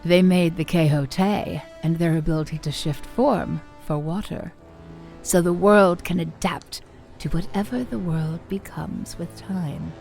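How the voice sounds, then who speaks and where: A woman playing a measured, cryptic lore-keeper in a fantasy audio drama, speaking in deliberate, formal storytelling. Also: fairly low pitch, steady tone, creaky, darkish tone, soft background music. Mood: solemn. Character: measured, cryptic female lore-keeper challenging her pupils' histories.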